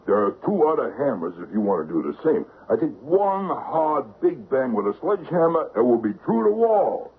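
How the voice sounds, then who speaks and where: An actor playing a genial, confident burglary ringleader, coaxing and reassuring, with hesitant starts, in old-time radio crime drama style. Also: male voice, mid-range voice, very animated, moderate pace, few pauses, husky. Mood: calm, instructive. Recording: narrow-band hissy old radio recording.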